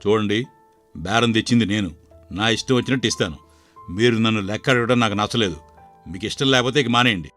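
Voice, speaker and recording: A man voicing a proud, bossy village wedding cook in a cartoon moral story, speaking in a measured, authoritative way. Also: on the low side, a bit bright, faint music behind, voice pushed down, natural voice. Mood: stern, curt.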